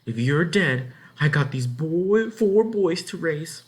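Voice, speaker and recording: Angry storybook farm wife, acted out by a man, scolding, bossy, rustic, shrill when upset, animated pitch. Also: slow pace. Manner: scolding, rustic folksy talk.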